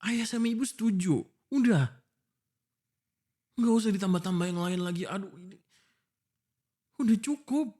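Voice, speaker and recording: A man's voice: high voice, moderate pace, pauses often, mellow. A man playing an exasperated, jealous young fiancé in a boyfriend audio roleplay, arguing emotionally with pointed questions. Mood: firm, dismissive. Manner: emotional, argumentative, pleading, full of pointed questions. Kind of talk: boyfriend ASMR roleplay acting.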